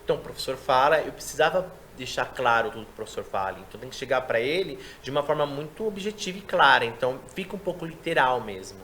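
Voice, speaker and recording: A man who works as a sign language interpreter, chatting in an interview and explaining his work in a lively way. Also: mid-range voice, a little hiss or hum. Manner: quick, explanatory, animated delivery. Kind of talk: casual interview chat.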